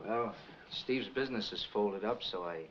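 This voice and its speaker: A humble American working man, a mechanic played by a male actor, speaks in plain forties film style. The soundtrack is muffled and clearly noisy. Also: lowish voice, moderate pace, lightly acted. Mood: humble, pleading. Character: humble, loyal out-of-work mechanic seeking a job. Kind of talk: 1940s film noir acting.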